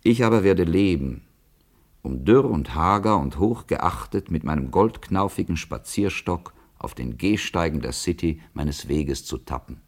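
An actor playing a proud, lonely, highly respected businessman weighed down by duty, in a grave, formal radio play monologue. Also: lively intonation, unhurried, heavily acted. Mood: proud, burdened.

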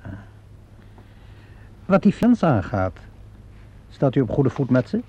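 An actor playing a polite, probing police inspector in a radio drama, halting, musing and understated. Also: male voice, mid-range voice, sing-song, dark tone, slightly rough, exaggerated voice. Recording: dull lo-fi radio play recording.

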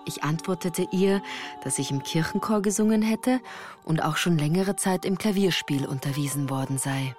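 A woman's voice: sits low, normal speed, darkish tone, faint music behind. A woman reading scripted first-person literary narration for a radio play in a composed, articulate storytelling manner.

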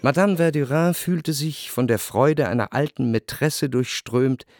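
An actor playing a wry literary narrator in a radio drama, articulate and pointed in delivery. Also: male voice, mid-range voice, unhurried, a little mellow, lightly acted. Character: wry, ironic literary narrator of society intrigue.

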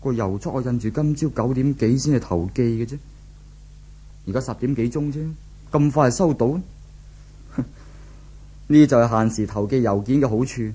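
An actor playing a brooding, distraught young office worker in a 1970s Cantonese radio drama, with a husky voice and a Hong Kong accent. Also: male voice, mid-range voice, moderate pace, long pauses, dark tone, close to an everyday voice. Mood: puzzled. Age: young adult.

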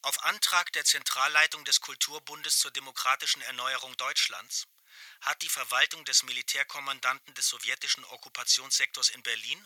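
A man's voice: medium pitch, bright, forceful tone, no echo. An actor playing a formal male postwar official reading out a notice in a radio drama.

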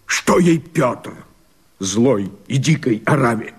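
An actor playing a fiery rebel leader who proclaims himself emperor, declaiming verse in a radio play with husky, impassioned, theatrical oratory. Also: male voice, mid-range voice, lively intonation, slow, exaggerated voice. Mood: rousing, fierce.

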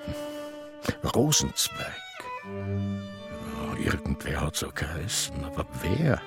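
An agitated, muddled old Austrian in a radio play. He speaks slowly and haltingly, groping for words, with wide swings in pitch, over prominent music. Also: wildly swooping pitch, full character voice. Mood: confused, brooding. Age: older. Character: confused, agitated old Austrian former film assistant. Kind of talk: radio drama acting.

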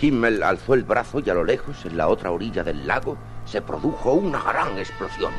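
A man's voice: on the low side, very animated, fairly quick. A man narrating dramatically in the style of a 1950s radio adventure serial. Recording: vintage 1950s radio, music underneath.

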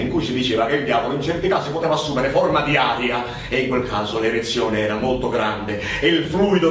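A man performing a theatrical narrative monologue, with a dramatic delivery that swells and drops. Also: on the high side. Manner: dramatic, swelling from hushed to shouted. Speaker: stage actor and storyteller. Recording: very echoey, distant, faint noise.

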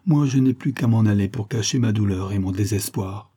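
A noble, heartbroken young woman, as a male reader plays her. The voice is husky and soft-edged. She pleads emphatically, with melodramatic resolve, in an old-fashioned storybook manner. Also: on the low side, fairly quick, no room sound. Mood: anguished.

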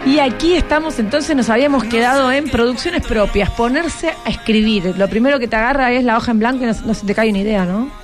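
Argentine lady doing a radio interview, voice a bit high, chatty, keeps checking in with questions. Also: fast talker, few pauses, music in the background. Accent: Rioplatense Argentine. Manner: prompting with frequent check questions. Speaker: radio host interviewing schoolchildren. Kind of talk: conversational interview.

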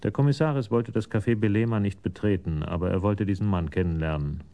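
A man narrating a scripted radio crime play in a sober storytelling manner, with a gravelly voice. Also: on the low side, fast talker, mellow, lightly acted.

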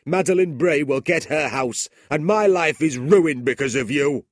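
A man's voice: fairly high pitch, a little slow, no room sound, clean studio sound, pitched above the performer's own voice. A man voicing an angry, bitter one-eyed schoolmaster in a storybook reading. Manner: angry and loud.

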